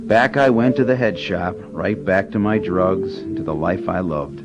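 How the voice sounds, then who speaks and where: A man's voice: low-pitched, normal speed. An actor playing a young man who recounts his days as a teen drug dealer in a radio drama, earnest and confessional. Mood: reflective. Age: young adult. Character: teenage drug dealer telling his past. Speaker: narrator. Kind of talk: radio drama narration and acting. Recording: dull radio broadcast with music. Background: music.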